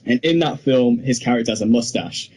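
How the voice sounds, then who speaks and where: A man with a very husky, breathy voice at an ordinary pitch, co-hosting a casual podcast. He talks in an opinionated, conversational way, putting weight on his views. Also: a little fast, a little mellow. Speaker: podcast co-host. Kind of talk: casual film news chat.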